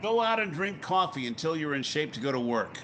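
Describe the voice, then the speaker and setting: A man's voice: on the high side, very animated, a little fast, sharp tone, slight background noise. Commanding newspaper editor, acted by a man, old radio style. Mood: brisk, commanding. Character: brisk, commanding male newspaper managing editor. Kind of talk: 1950s radio drama acting with first-person narration.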